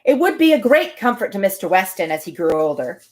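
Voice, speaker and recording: A woman's voice: mid-range voice, sing-song, fairly quick, a little mellow. A woman reading a classic novel aloud to a listener, breaking off for sarcastic, joking comments. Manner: wry, sarcastic interjections between passages. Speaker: reader and commentator.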